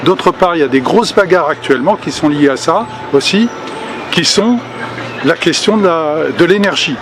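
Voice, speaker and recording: A man's voice: a little high, lively intonation, normal speed, crowd noise. An older man speaking at a public meeting, earnest and hesitant, with many fillers and restarts. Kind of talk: spontaneous political speech. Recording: outdoor recording with street noise.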